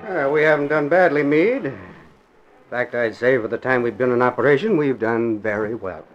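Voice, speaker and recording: An actor playing an excitable, emphatic swindler who is easily rattled, in 1940s radio drama style. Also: male voice, mid-range voice, moderate pace, faint music behind, natural voice. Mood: smug. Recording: dull vintage 1940s radio recording.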